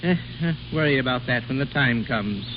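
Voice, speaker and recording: An actor playing an easygoing exiled Grand Duke who hesitates and stammers, in an old radio drama. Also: male voice, mid-range voice, fairly quick, natural voice. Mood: hesitant. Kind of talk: 1930s radio drama acting. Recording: dull, noisy old radio broadcast.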